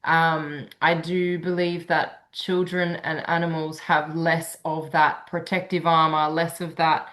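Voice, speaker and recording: A woman, a sound healer and guest, explaining calmly and thoughtfully on a video call. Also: sits low, slow, hardly any pauses. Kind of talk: conversational explanation.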